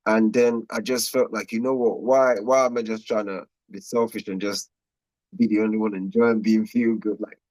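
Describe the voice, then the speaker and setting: A young man from Nigeria, a guest musician, chatting in a relaxed, slangy way and laughing often. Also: lowish voice, moderate pace, dry recording, poor audio. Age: young adult. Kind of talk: casual interview chat.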